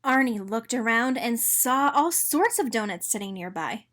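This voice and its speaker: A woman reading a children's storybook aloud in an expressive narrating style. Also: fairly high pitch, normal speed. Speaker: storybook reader.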